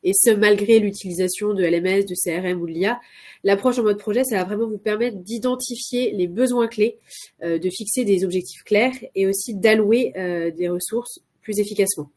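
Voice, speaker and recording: A woman's voice: medium pitch, a little fast, a little mellow. A woman with a cold, presenting as a consultant in a live webinar, hesitant with many filler sounds and restarts. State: has a cold.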